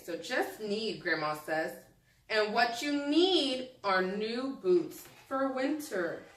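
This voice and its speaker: A woman teacher reading a picture book aloud to young children, pausing to prompt them with questions and think out loud. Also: medium pitch. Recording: echoey room, distant microphone.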